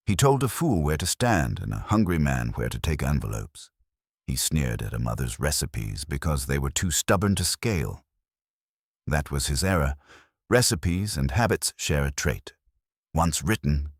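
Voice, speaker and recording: Audiobook role: methodical, lordly male sleuth voiced by a man, extremely low pitch, dry close sound, pronouncing verdicts formally. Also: no echo. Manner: formal, pompous, measured phrasing.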